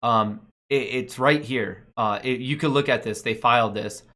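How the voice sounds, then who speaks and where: Male finance show host, mid-pitched voice, unscripted casual commentary, emphatic on key points. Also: moderate pace, pushed and bright. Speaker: host of a stock market show.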